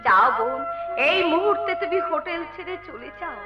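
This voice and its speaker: A woman's voice: very high voice, animated, a little fast, highs cut off. A woman playing a bold, resolute woman of the world in a folk theatre melodrama, speaking in an emphatic, declamatory way. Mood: urgent, resolute. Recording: echoey stage-style recording with music.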